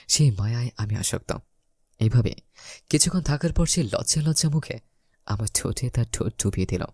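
A male storyteller reads a scripted romantic tale in the first person. His voice is medium in pitch with a dry, echo-free sound, and he acts out lines of dialogue. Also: darkish tone, breathy edge. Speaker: story narrator.

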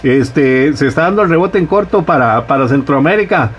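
A man's voice: on the high side, animated, moderate pace, muffled recording, a little hiss or hum. A man from Costa Rica with a Costa Rican accent, chatting in a friendly way over ham radio and using radio jargon. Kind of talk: casual radio chat.

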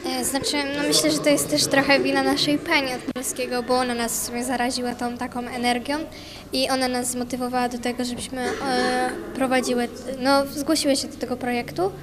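A teenage girl with a high voice answers casually and a little shyly. Clear music plays behind her as she speaks into a handheld mic. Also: little pitch movement, a little fast. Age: teens. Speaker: student interviewee. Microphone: handheld mic held by interviewer.

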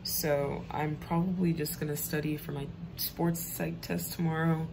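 A woman's voice: low-pitched, flat delivery, unhurried, vocal fry, noisy background. A young woman, a student athlete, chatting casually into a phone held at arm's length, laughing and teasing herself. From Canada. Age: young adult. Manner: chatty, laughing, self-mocking. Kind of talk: casual vlog chat.

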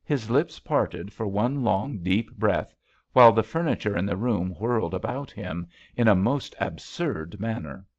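Low-voiced man reading a story expressively. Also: animated. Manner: measured, dramatic storytelling. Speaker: audiobook reader. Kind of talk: expressive literary narration of a romantic story. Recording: slightly dull, lo-fi audio.

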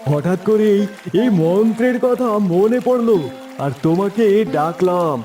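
A man's voice: high-pitched, expressive, a little slow, mellow, recorded from a distance, soft background music. A man voicing a kindly, loyal turtle who reassures his friends in a fairy-tale cartoon. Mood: warm. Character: kindly loyal turtle, attendant to a young prince. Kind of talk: cartoon voice acting.

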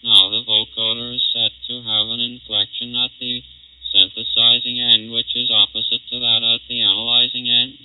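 A man giving a formal, measured technical demonstration in 1930s style, his speech turned synthetic and robotic by a vocoder. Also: mid-range voice, little pitch movement, clear, resonant tone. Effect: synthetic robotic vocoder processing. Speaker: laboratory demonstrator. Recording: muffled, phone-like 1939 test disc recording.

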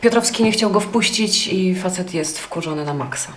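A woman's voice: lowish voice, moderate pace, slight background noise, lightly acted. Woman acting a chatty, casual secretary. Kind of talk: naturalistic film drama dialogue.

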